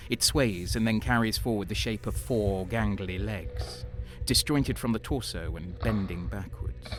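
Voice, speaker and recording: An actor playing a cynical, faithless deserter soldier on edge, narrating an audio drama in a brooding voice that drops into whispers. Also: male voice, on the low side, natural voice. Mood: horrified. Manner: slow, brooding, breaking into whispers. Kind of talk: audio drama first-person narration and dialogue. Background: music.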